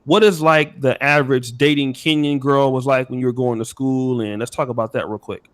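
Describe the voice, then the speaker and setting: A man hosting a casual interview, asking quick, loose questions with some swearing. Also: mid-range voice, fairly even intonation, a bit bright, clean tone. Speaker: podcast host.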